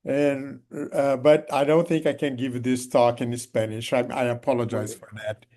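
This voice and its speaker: A man with a Brazilian Portuguese accent presents in his second language over a video call. His pitch sits in the middle, and he talks haltingly, full of restarts and fillers. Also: level tone, darkish tone. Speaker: guest speaker. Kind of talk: online talk.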